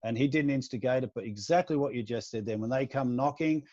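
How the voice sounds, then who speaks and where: A man hosting a podcast recounts a long story, slipping into the voices of the people he quotes. His voice is mid-pitched. The sound is dry and dull, a lo-fi recording without room echo. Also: quick pace, a little mellow. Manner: recounting a story at length, acting out quoted lines. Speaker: podcast host. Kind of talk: casual interview conversation.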